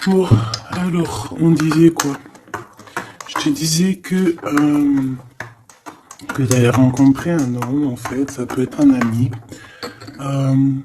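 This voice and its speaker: A man's voice: medium pitch, steady tone, slow pace, pauses often, noisy background. A man giving a casual, improvised tarot reading, speaking familiarly to the listener with hesitant, repetitive phrasing and frequent tag words. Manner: hesitant, repeats phrases, frequent "hein" tags, familiar address. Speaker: tarot card reader, host.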